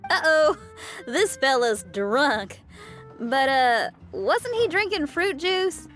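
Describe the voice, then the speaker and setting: A woman's voice: high-pitched, animated, slow pace. A woman playing a brash, friendly young woman in an anime game, talking in a breezy, folksy way over music. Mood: puzzled. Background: background music.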